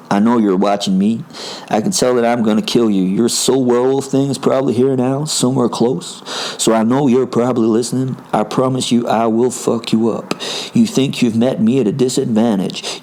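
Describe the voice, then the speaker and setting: A man's voice: medium pitch, darkish tone, natural voice, low-quality recording. Man putting on a cocky American super-soldier. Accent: attempted American. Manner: menacing, boastful, challenging. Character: cocky, huge American male super-soldier itching to fight.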